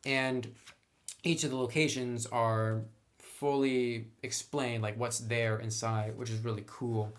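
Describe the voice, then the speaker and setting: A man's voice: mid-range voice, steady tone, slow. Casual man reviewing tabletop games. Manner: informal, conversational, opinionated.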